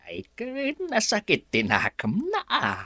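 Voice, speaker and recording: A woman's voice: mid-range voice, wildly swooping pitch, unhurried, sharp tone, dry recording, clean studio sound, exaggerated voice. A woman with a Filipino accent playing a flustered, outspoken household helper in an Ilocano drama, exclaiming and stammering. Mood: aggrieved.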